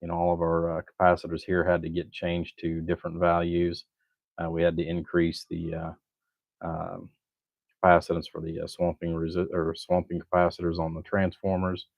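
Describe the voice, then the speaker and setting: A man with a very deep voice chats casually as he tinkers at his workbench. His delivery is folksy, rambling and laid-back. The sound is dry and close, with a slightly dull, lo-fi edge. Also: completely flat delivery, moderate pace, creaky, dry recording. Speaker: livestream host, hobbyist amplifier builder. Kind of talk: casual livestream chat while working at a bench.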